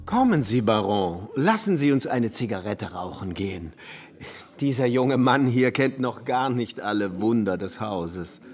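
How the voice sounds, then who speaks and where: An actor playing a pedantic, verbose scholar in a radio drama, lecturing in a pompous, ornate way. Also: male voice, fairly low pitch, lively intonation, a little slow, a little mellow. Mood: coaxing. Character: pedantic male professor, verbose, scholarly, conspiratorial moralist. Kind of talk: literary radio drama acting. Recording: studio recording with music behind.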